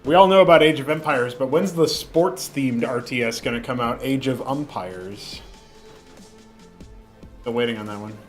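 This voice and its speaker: Young male streamer with a slight lingering cough, middle-pitched voice, casual rambling chat, faint music behind. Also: normal speed. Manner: rambling, with frequent fillers and restarts.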